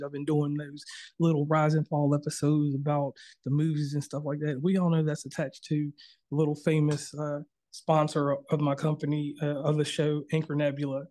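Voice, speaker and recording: A man's voice: on the high side, warm tone, dry recording. A man hosting a podcast interview over a video call, chatty and loose, with plenty of fillers. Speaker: podcast host.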